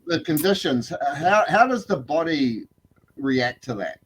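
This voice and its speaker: Upbeat New host, higher voice. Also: male voice, lively intonation, unhurried, breathy edge, low-quality recording. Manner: upbeat, asks questions with fillers. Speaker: podcast co-host. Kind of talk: casual podcast chat.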